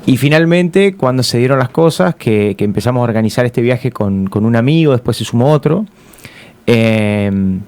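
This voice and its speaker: Smooth-voiced Argentine man chatting. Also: medium pitch, expressive, normal speed, a little mellow. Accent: Argentine Spanish. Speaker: interview guest, traveller. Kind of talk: casual conversational recounting.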